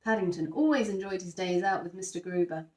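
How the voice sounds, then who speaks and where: A woman's voice: on the low side, brisk, a little mellow, reverberant. A woman narrating a picture book expressively for young children, stressing key words as she goes. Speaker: teacher reading a children's picture book aloud. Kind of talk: expressive scripted story narration.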